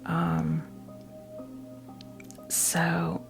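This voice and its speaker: A woman in her fifties gives an ASMR tarot reading in a breathy whisper, halting often as she reassures the listener. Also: low voice, completely flat delivery. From New Jersey, United States. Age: 50s. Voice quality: breathy whisper mixed with soft creaky voice. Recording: music playing behind the voice. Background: music.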